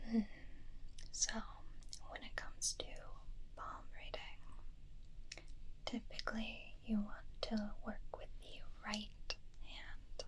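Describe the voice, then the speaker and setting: A woman whispering softly and intimately in an ASMR roleplay as a flirty, attentive witch, explaining things in an unhurried way. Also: mid-range voice. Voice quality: soft breathy whisper. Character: flirty, attentive witch giving a palm reading. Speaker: ASMR performer.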